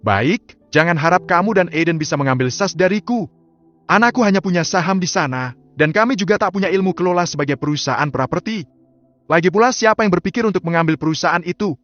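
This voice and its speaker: A fed-up, scornful wife, as a male storyteller puts her on, her voice pitched up and cutting. She fires sharp, accusing questions. The sound is a little muffled, with soft music underneath. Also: animated, fast. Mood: bitter.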